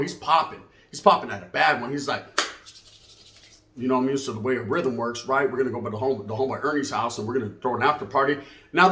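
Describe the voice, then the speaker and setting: A man with a fairly high voice and a Chicano Californian accent, recorded in an echoey room. He tells a comic story casually, full of street slang and bits of Spanish. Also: fairly bright tone. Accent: Chicano Californian American. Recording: roomy, echoey sound.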